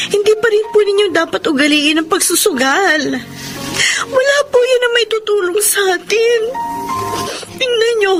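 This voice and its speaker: A woman playing a hurt, dutiful young elder sister in a radio-style drama, plaintive and reproachful, with a Filipino accent. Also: very high voice.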